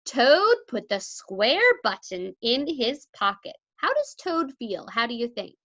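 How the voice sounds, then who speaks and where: A woman teacher reading a storybook aloud to young children with expressive, deliberate articulation, in a slightly dull lo-fi recording. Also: a little high. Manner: deliberate articulation, asks listeners questions.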